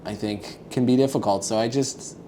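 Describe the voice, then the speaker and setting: A man's voice: medium pitch, moderate pace, dark tone. A young man from the United States, a podcast guest, talking casually and in a friendly way, with hesitant starts and many fillers. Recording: audible background noise.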